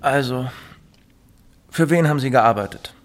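An actor playing a calm, patient professional man, reassuring and methodical, in a German radio drama. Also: medium pitch, a little slow, a little hiss or hum, lightly acted. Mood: probing. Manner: composed, reassuring, methodical questioning. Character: calm, patient male professional advising a troubled client.

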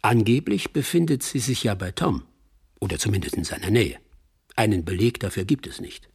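Crime radio drama narrator: wry old friend, lowish husky voice, deliberate delivery. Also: male voice, big pitch swings, a little mellow, full character voice. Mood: wry, reflective. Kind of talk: radio play narration, reporting like an epilogue.

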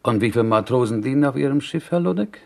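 A man's voice: mid-range voice, animated, quick pace, creaky, a little mellow. An actor playing a pious, dignified man from small-town Galicia in a radio play, solemn and formal. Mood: polite, curious. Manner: solemn, formal, wistful, Yiddish-tinged word order. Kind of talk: 1970s radio play acting.

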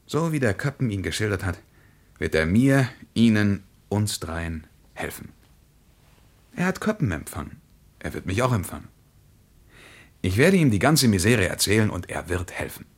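An actor playing an eager, insistent German traveller in a radio play, speaking with impassioned emphasis. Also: male voice, on the low side, sing-song, normal speed, long pauses. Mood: fervent.

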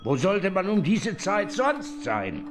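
An actor playing a grumbling, long-suffering old manservant in a radio drama. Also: male voice, high-pitched, wildly swooping pitch, slow pace, music in the background, full character voice. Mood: sullen. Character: grumbling, put-upon old male servant.

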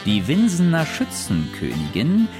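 An actor playing a droll storyteller who narrates a radio play with wry descriptions. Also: male voice, fairly high pitch, wildly swooping pitch, measured pace, music in the background. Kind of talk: scripted narration.

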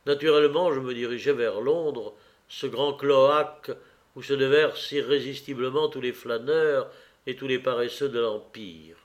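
A man with a mid-range voice reads a first-person story aloud. He keeps a calm, even storytelling pace throughout. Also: mid-range voice, normal speed. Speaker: audiobook reader.

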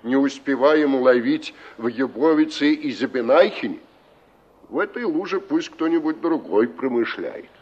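Wordy skeptical fishing boss, very lively delivery, dull vintage soviet radio drama audio. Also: male voice, mid-range voice, moderate pace, husky. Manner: explanatory, rhetorical. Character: skeptical fishermen's brigade leader, wordy and dismissive. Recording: muffled 1960s radio play recording.

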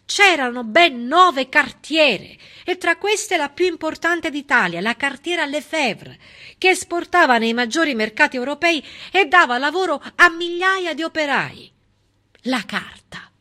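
A woman performing a theatrical narrative monologue as a stage storyteller, emphatic, with dramatic pauses and an ironic edge. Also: high voice, expressive, pushed and bright.

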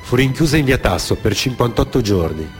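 A man reading a scripted radio theatre narration in a grave, measured, declamatory manner. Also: mid-range voice, very animated, faint music behind.

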